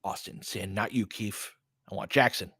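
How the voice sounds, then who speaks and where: A man's voice: on the low side, fairly even intonation, normal speed, fairly bright tone, slightly rough, no echo, studio quality. An American man calling basketball play-by-play in an informal, conversational, opinionated way, mixed with casual fan chat. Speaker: play-by-play host of a fan stream.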